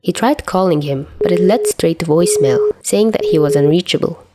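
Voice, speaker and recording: A woman reading fan fiction aloud as an expressive scripted story narrator. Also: low-pitched, fairly quick, over loud music. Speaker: podfic narrator.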